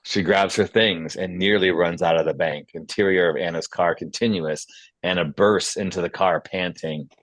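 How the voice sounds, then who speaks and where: A man's voice: deep voice, steady tone, moderate pace, no echo. A man narrating stage directions at a script table read.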